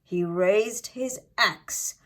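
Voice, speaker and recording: A woman reading a scripted fairytale for children in an expressive, dramatic, deliberate way. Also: mid-range voice. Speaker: children's storyteller.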